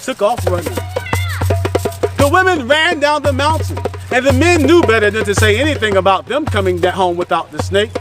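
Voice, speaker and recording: A storyteller and host telling a children's tale in a theatrical, expressive, emphatic way, sometimes breaking into song. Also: medium pitch, moderate pace. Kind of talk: animated children's storytelling with sung call-and-response. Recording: hip hop music under the voice.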